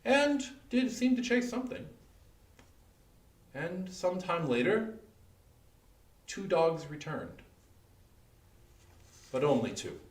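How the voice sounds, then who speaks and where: A man telling a horror folktale dramatically, deliberate and suspenseful, with long pauses. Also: high voice, big pitch swings. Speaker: storyteller. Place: indoor room, live online performance. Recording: echoey room, distant microphone.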